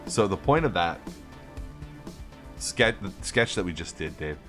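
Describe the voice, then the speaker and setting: Deep-voiced male podcast co-host. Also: expressive, unhurried, a bit bright, soft background music. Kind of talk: mock news interview and casual chat.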